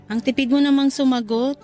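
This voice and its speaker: A woman's voice: high voice, steady tone, moderate pace, warm tone, faint music behind, lightly acted. A woman playing a sweet, teasing young worker being courted in a Tagalog radio romance, with a coy, coaxing way of talking. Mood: playful teasing.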